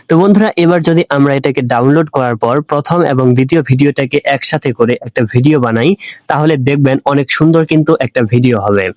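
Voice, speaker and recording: Guy doing a step by step tech tutorial, talks really fast, slightly high voice, instructional. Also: dry recording. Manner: instructional, repeatedly addressing viewers as friends.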